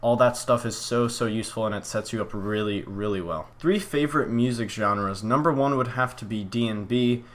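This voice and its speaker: A young man from Poland answering viewer questions in a casual vlog, relaxed and rambling, with plenty of fillers and restarts. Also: fairly low pitch, normal speed, few pauses. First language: Polish.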